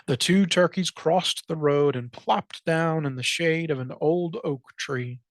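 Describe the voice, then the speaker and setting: A storyteller reading a children's picture book aloud in an expressive read-aloud style. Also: mid-range voice, unhurried, no echo. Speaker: librarian, storytime reader.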